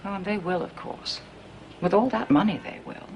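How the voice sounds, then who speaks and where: An actress playing a woman who muses slowly and gossips. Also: medium pitch, a little hiss or hum, lightly acted, low-quality recording. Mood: musing. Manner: slow, musing, gossipy.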